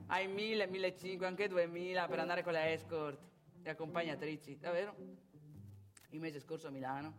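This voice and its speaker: A woman's voice: on the high side, bright, forceful tone. A woman playing a brash, bitter, boastful womanising divorced salesman in a theatrical monologue, with colloquial, crude, volatile delivery and rhetorical questions. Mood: smug, matter-of-fact. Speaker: stage monologue performer. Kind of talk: theatrical monologue addressed to audience.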